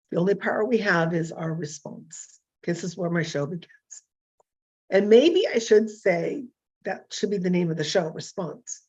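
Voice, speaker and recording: A hoarse woman in her fifties hosting a casual solo talk show, rambling and self-deprecating with many fillers and restarts. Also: fairly low pitch, muffled recording. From California. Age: 50s. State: hoarse from illness.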